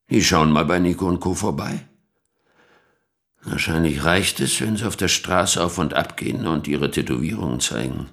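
A man's voice: very deep voice, creaky, a bit bright, full character voice. An actor playing a sly, insinuating male lawyer with shady connections, in a radio drama monologue.

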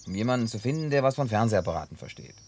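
An actor dubbing a man who tells a long story in a laid-back way with gruff humor. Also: fairly low pitch, animated, racing, a little mellow, a little hiss or hum, natural voice. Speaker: lead character in a dubbed film. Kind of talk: dubbed film acting.

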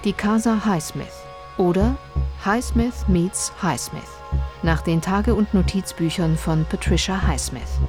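A woman's voice: fairly low pitch, animated, unhurried, over music. A woman reading a scripted announcement of title and credits for a radio play.

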